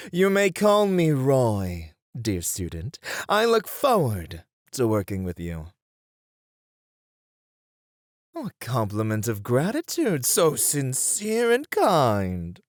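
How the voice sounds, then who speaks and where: A man's voice: mid-range voice, dry recording, studio quality. A man playing a courtly, playful etiquette tutor in an ASMR roleplay, speaking formally and with elaborate politeness to the listener. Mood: gracious.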